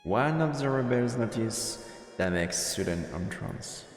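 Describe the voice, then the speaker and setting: A man's voice: medium pitch, expressive, a little slow. A man streaming a live let's play and reading the game's narration aloud over music. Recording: roomy, echoing mic over game music.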